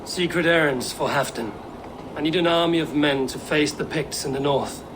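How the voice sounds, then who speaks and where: A man plays a defiant Viking warrior in a game drama. He talks tersely and plainly in a slightly high male voice. There is clear ambient noise around him. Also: moderate pace, lightly acted. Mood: businesslike. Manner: terse, plain-spoken, resolute. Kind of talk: video game cutscene acting. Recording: clearly noisy ambient background.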